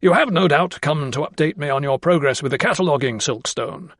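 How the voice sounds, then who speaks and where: A man voicing an imposing gentleman of rank who talks in a brusque, barking way. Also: on the high side, a little fast, no echo, studio quality, pitched above the performer's own voice. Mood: commanding.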